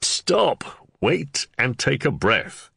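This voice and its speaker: A calm under-sheriff used to being obeyed, measured and commanding, played by a male narrator. The delivery is lively in pitch with a slight gravel. It has dry, close studio sound for a storybook audiobook. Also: no room sound, exaggerated voice. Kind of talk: audiobook character voice.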